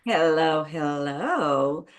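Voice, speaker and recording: A woman's voice: low-pitched, lively intonation, extremely slow. A woman from Puerto Rico with a Spanish accent and Spanish as her first language, introducing her coaching work on a video call in an earnest, emphatic way. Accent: Puerto Rican Spanish. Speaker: business coach.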